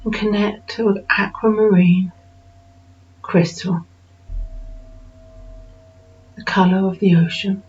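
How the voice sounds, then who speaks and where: A woman with a dark, relaxed timbre and a middling pitch leads a guided meditation. She speaks soothingly in short, unhurried phrases with pauses between them. The recording is a little dull and lo-fi, with faint music behind her.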